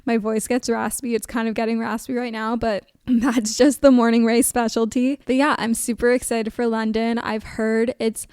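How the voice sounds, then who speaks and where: A woman in her twenties hosting an advice podcast, chatting casually with a level, monotone delivery and lots of "like" fillers. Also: fairly high pitch, moderate pace, few pauses, clean tone, no echo, studio quality. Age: 20s. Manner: chatty, says she sounds monotone, frequent "like" fillers. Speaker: podcast host.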